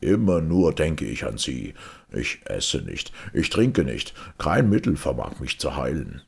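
A proud, courtly castle commander from a storybook, put on by a male reader. He speaks formally in a very low voice, full of gravel, with expressive swings. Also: unhurried, exaggerated voice. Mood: lovesick. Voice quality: gravelly, husky. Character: proud castle commander, the king's servant, smitten.

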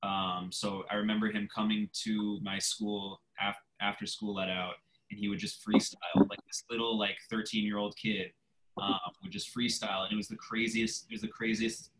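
A man's voice: fairly low pitch, flat delivery, a bit bright, rough recording. A man from New York with a New York accent, telling a story eagerly on a video call. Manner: eager storyteller, quick bursts. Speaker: challenge creator guest. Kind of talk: casual video call chat.

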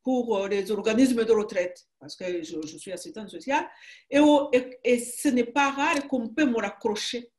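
A woman in her sixties from Rwanda with a Rwandan accent in French, a writer interviewed over a video call from her home, speaking haltingly and emphatically, often restarting her phrases. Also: fairly high pitch, a little mellow. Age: 60s. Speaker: author guest. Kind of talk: conversational interview. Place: home room. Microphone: video call webcam.

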